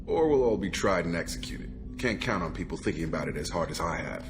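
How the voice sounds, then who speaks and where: A deep-voiced soldier from a science fiction game, guarded but principled. He speaks frankly and with measured formality, with music clearly behind him. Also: expressive. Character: principled, guarded soldier on a space warship crew. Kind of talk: video game cutscene voice acting.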